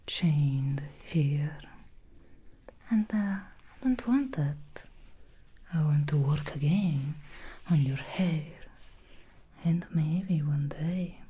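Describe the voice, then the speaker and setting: A woman voicing an alluring, scheming female demon hairstylist in an ASMR roleplay, speaking in a slow seductive whisper. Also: low voice, dark tone, slight background noise.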